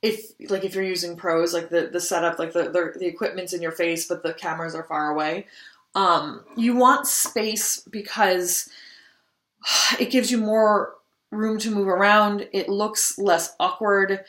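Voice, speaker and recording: A woman's voice: mid-range voice, steady tone, measured pace, fairly bright tone, reverberant. A woman singer giving casual audition tips in a vlog, chatty with many ums and restarts. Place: home room, just moved abroad.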